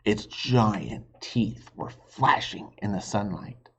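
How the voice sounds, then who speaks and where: A man reading a children's book aloud as a teacher, expressive and pausing to ask listeners questions. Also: on the low side, slight background noise. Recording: dull lo-fi recording.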